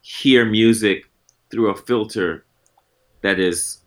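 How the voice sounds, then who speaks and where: Deep-voiced laid-back man in his forties. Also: slow pace, a bit bright. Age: 40s. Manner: laid-back, reflective, circling back on thoughts. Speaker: guest musician. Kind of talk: casual interview talk.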